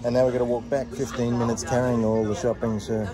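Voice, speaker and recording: A man in his forties talking casually to a camera outdoors, with a dry, deadpan sense of humour. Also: mid-range voice, fast talker. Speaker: vlogger on a sailing channel. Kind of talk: casual vlog chat. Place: outdoors, on a sailboat deck and around town.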